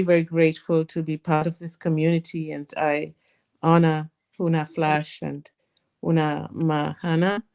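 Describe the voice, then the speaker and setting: Lady calling in, slow and flat, lots of ums. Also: sits low, clean tone. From Cuba. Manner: grateful, halting with fillers. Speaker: caller. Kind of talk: call-in thanks and chat.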